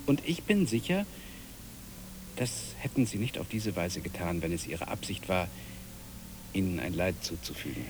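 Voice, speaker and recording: An actor in a radio drama playing a devoted cockroach husband, anxiously optimistic, who reassures in a hesitant, earnest, self-correcting way. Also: male voice, deep voice, animated, moderate pace, pauses often, noisy background. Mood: flustered, insistent.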